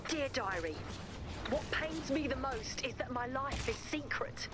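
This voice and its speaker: A woman playing an eccentric, sweet and nosy video game character, theatrical and expressive. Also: high-pitched, measured pace, background noise. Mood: plaintive, earnest. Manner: theatrical, expressive, reads aloud dramatically.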